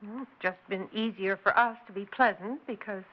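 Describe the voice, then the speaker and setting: An actress playing a sensible, polite but uneasy suburban wife in a 1960s radio drama, measured and firm. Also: female voice, medium pitch, lightly acted. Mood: earnest. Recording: dull lo-fi 1960s radio recording.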